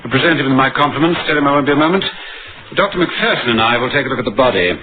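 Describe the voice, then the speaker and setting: An actor playing a measured, dryly grave police inspector narrating his case in an old radio crime drama. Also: medium pitch, lively intonation, noisy background, natural voice. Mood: brisk, polite. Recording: muffled old radio recording with music.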